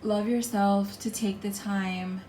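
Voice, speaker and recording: A woman's voice: medium pitch, steady tone, slow, mellow, a little hiss or hum. A woman with a froggy, strained voice giving an earnest, encouraging tarot reading in casual talk, often repeating herself. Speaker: tarot card reader. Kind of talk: casual spiritual pick-a-card reading. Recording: echoey room, far from mic, music behind. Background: music.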